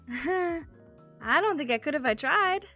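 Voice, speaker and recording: A woman's voice: high voice, animated, takes it slowly, pushed and bright, faint music behind, exaggerated voice. A woman voicing a cheerful, quirky teenage girl who chats playfully in a fan dub, with an airy, teasing manner. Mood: cheerful, breezy.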